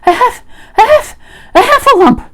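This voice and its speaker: A woman voicing a small, timid young male piglet from a storybook, breathless, stammering and excitable. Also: very high voice, moderate pace, pitched above the performer's own voice. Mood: breathless alarm.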